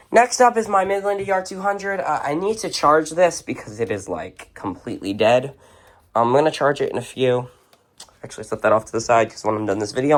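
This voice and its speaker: A collector of unclear sex talks through a home collection in a mid-range voice. The delivery is casual and unscripted, with blunt, offhand little verdicts on each item. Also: medium pitch. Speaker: radio collector presenting a collection. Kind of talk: casual unscripted show-and-tell. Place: indoors at home, among shelves and tables of radios.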